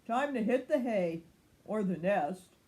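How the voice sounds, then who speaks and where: A woman's voice: medium pitch, expressive, a little slow, dark tone, natural voice. A woman voicing a friendly, eager young male rescue puppy in a children's story, with kindly boyish exclamations. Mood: friendly, apologetic.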